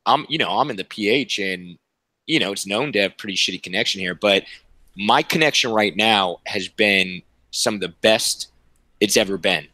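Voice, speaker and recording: A man's voice: fairly low pitch, normal speed, bright, forceful tone, no echo. A man hosting a casual group video call, joking and crude, laughing often. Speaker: show host.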